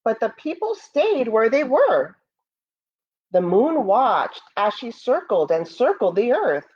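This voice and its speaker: A woman's voice: on the high side, sing-song, takes it slowly, slightly rough, dull audio. An American woman, a school educator, reading a picture book aloud to children expressively and speaking to them directly. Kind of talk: children's read-aloud with asides to pupils.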